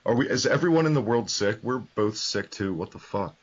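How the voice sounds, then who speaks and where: Casual podcast host, man, a bit under the weather, low-ish voice, dull lo-fi sound. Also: animated, a little fast, slightly rough. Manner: rambling, joking, self-correcting.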